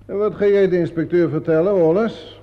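An actor playing a self-assured, impatient theatre producer in a radio play, brusque and sardonic. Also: male voice, high voice, fairly even intonation, fairly quick, slight background noise, close to an everyday voice. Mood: assertive, sardonic. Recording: dull, muffled old radio recording.

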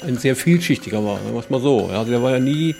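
A middle-aged man giving thoughtful interview answers, deliberately searching for his words. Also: mid-range voice, expressive, gravelly, a little mellow, soft background music. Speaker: interviewee, cassette culture radio host and musician.

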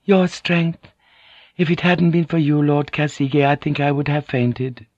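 A man voicing a soft, apologetic Japanese noblewoman who is cunning and deferential in an audiobook. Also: fairly high pitch, little pitch movement, unhurried, no room sound, lo-fi sound, pitched above the performer's own voice. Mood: meek flattery. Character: penitent, cunning Japanese noblewoman, flattering and meek. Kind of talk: audiobook character acting.

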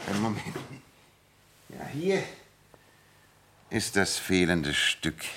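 A deep-voiced, animated master detective. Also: male voice, exaggerated voice. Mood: brisk, businesslike. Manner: theatrical, self-assured, amused chuckles between explanations. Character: confident, sharp-witted male detective explaining his deductions. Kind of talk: radio play acting.

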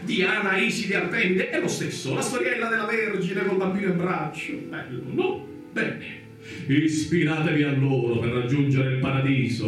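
A greedy, sanctimonious priest in satirical radio theatre. His voice is fairly high for a man, pompous and preachy, sliding into chant and exclamation. He rings out from some distance in a very reverberant space, with faint music behind. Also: a bit bright.

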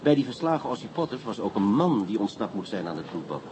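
An actor playing a skeptical, wry police inspector and doting husband in a radio play, teasing and conversational. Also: male voice, lowish voice, sing-song, fast talker, background noise. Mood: wry, reasoning.

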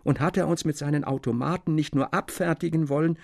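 A man reading literary prose aloud as a story narrator, with a creaky voice and a formal, expressive delivery. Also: fairly high pitch, a little fast, no room sound, studio quality. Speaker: audiobook narrator.

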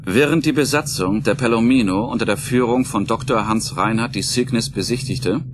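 A man's voice: mid-range voice, normal speed, noisy background. A man narrating a scripted audio drama as the storyteller, in a dramatic storytelling style. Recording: music behind the voice.

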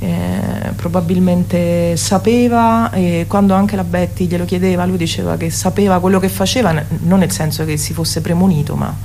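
A woman being interviewed as a performer, speaking spontaneously and pausing to search for words. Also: on the low side, fairly even intonation, warm tone. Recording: clear background ambient noise.

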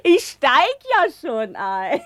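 Lively, giggly, bawdy woman. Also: sits high, drawn-out words, exaggerated voice. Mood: teasing, amused. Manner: laughter-laced, playful. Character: giggly, teasing, bawdy woman reminiscing about lovers. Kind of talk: lively radio drama acting.

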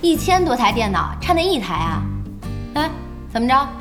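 An actress in a Chinese audio drama playing a brisk, bossy young woman who runs an internet cafe, speaking directly. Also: a little high, very animated. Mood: brisk, businesslike. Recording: music and crowd sounds behind.

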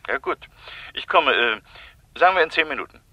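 A man's voice: fairly high pitch, lively intonation, normal speed, muffled recording. An actor playing a middle-aged, well-off businessman in a German radio play, speaking in an urbane, conversational way. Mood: incredulous. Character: wealthy, worried brother-in-law, a businessman keen to avoid scandal.

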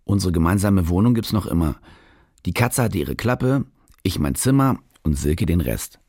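Low laconic cynical journalist. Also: male voice, moderate pace, no echo, clean studio sound. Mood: wry, laconic. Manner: laconic, colloquial, deadpan. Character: cynical, evasive male journalist and loner. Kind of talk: radio play first-person narration and dialogue. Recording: music behind the voice.

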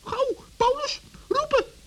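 A performer voicing a small, plucky, crafty forest gnome with a squeaky, creaky voice in a children's radio play. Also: steady tone, slow, a bit bright, exaggerated voice.

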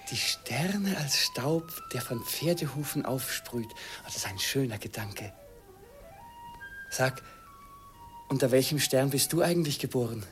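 An actor playing a shy, earnest young student in love, gentle and hesitant, in a 1950s radio play. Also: male voice, mid-range voice, expressive, a little slow, over music, lightly acted. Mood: wondering, gentle. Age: young adult.

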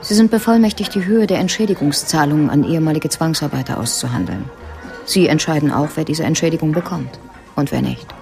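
A woman's voice: low-pitched, animated, brisk, vocal fry, darkish tone, faint music behind. An actress playing a resolute, sardonic woman in a radio crime drama, speaking in a matter-of-fact, pointed way. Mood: businesslike. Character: sardonic, resolute woman, lawyer's former lover.

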